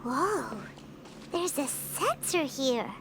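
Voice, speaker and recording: Innocent little girl, video game, extremely high pitch, slow halting delivery, wide-eyed. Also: very animated, vocal fry, background noise, exaggerated voice. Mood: curious. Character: small childlike girl, slow-spoken, innocent and curious. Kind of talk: scripted video game dialogue.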